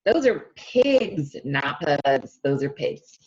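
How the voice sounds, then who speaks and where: A woman reads aloud for children in an unhurried, very animated way. She stretches important words and talks directly to her young listeners, asking them questions. The recording is a little muffled and lo-fi. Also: sits low. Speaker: speech-language pathologist reading to children.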